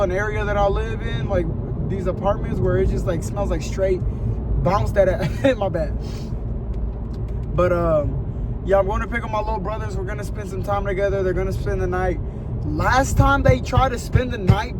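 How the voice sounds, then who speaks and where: Young guy, high-ish voice, sniffly, loose joking car vlog with clear road noise behind. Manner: chatty, joking, rambling with lots of fillers. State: sniffly with a cold. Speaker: vlogger. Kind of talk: casual chat to viewers while driving. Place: inside a moving car at night. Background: steady car and road noise.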